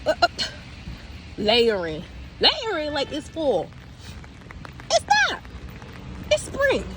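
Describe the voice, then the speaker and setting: A woman's voice: high-pitched, wildly swooping pitch, slight background noise, poor audio. A young woman vlogging on her phone outdoors, chatty and exasperated, sounding congested. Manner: chatty, exasperated, drawn-out complaints. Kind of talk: casual vlog to phone camera. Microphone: phone camera.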